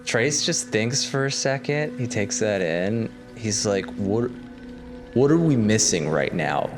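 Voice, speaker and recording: A man's voice: lowish voice, expressive, takes it slowly, big-room sound, music in the background. A man talking casually as a player in a tabletop role-playing game, slipping between narrating and speaking in character.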